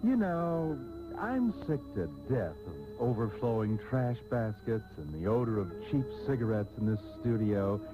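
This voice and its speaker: An actor playing a jaded, grandiose American soap-opera radio host, narrating with theatrical, sardonic, drawn-out delivery on an old tape. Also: male voice, on the low side, sing-song, narrow, tinny audio. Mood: wearily sardonic. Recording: phone-thin old radio tape, music behind.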